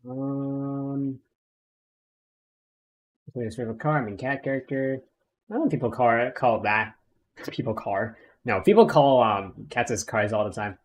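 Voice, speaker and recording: A man's voice: medium pitch, a little slow, long pauses. A man streaming a game, chatting casually and rambling with lots of fillers. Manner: rambling, many fillers and restarts. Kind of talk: casual gaming commentary.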